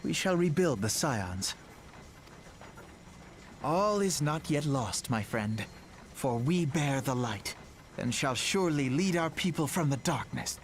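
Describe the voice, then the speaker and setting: An earnest, higher-pitched young fantasy scholar. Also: male voice, lively intonation, slow pace, pauses often, slight background noise. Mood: hopeful resolve. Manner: formal, archaic phrasing. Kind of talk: fantasy game dialogue acting.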